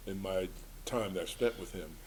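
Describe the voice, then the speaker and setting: A man speaking as a panelist, recounting things in a halting way with many pauses. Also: low-pitched. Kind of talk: anecdotal panel discussion. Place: live event panel. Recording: clearly noisy live room.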